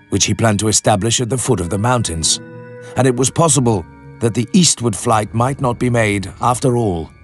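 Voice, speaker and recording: An actor playing a grave expedition leader, narrating a literary tale for radio in a measured, serious manner. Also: male voice, lowish voice, close to an everyday voice. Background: background music.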